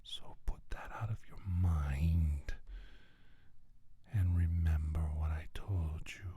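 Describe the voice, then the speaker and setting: Casting: male actor for condescending alien scientist in ASMR roleplay. Husky, whispered menace, very slow and deliberate, recorded close and dry. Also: extremely low pitch, fairly even intonation, mellow, dry recording, heavily acted. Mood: ominous warning. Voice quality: breathy, husky near-whisper. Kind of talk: soft-spoken ASMR roleplay monologue.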